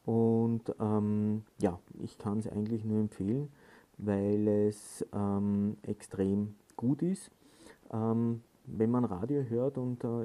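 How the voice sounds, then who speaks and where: Very dark-voiced, halting man. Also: medium pitch. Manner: halting, hesitant delivery with frequent filled pauses. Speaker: product tutorial presenter. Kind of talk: casual hands-on instructional talk.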